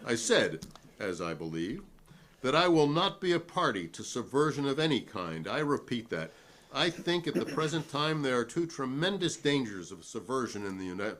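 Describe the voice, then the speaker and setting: Principled American male witness played by a man in a dramatic hearing reading; defiant, oratorical, widely swinging pitch. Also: a bit bright.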